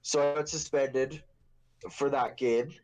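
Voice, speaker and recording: A man's voice: mid-range voice, steady tone, a little slow, darkish tone, poor audio. A young man hosting a casual podcast, telling a story in a rambling, profane, joking way. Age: young adult. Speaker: podcast host.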